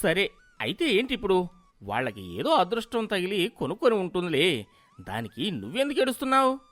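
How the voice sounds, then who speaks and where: A weary village husband in a moral storybook, put on by a woman in a lowered voice. He swoops up and down as he coaxes and placates with mild exasperation. Faint music sits underneath. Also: huge pitch swings, fairly quick, dry recording. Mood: exasperated, soothing. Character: weary, placating rich husband, middle-class village master.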